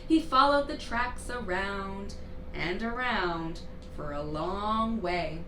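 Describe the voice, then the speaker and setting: Female storybook reader, mid-pitched, unhurried, expressive emphasis for young listeners; off-mic, noisy room sound. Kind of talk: expressive children's read-aloud narration. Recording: roomy, distant mic, clear background noise.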